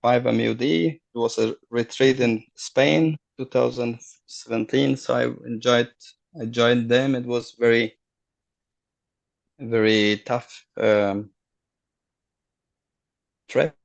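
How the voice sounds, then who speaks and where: A middle-aged man, a guest on a video call, telling his story haltingly and searching for words. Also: mid-range voice, steady tone, gravelly, highs cut off. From Afghanistan. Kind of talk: casual personal story.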